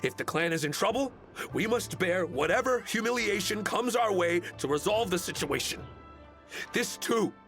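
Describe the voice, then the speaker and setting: A man's voice: high voice, expressive, normal speed, fairly bright tone, soft background music. A man playing an earnest, dutiful samurai retainer in a video game, resolute and stoic about hardship.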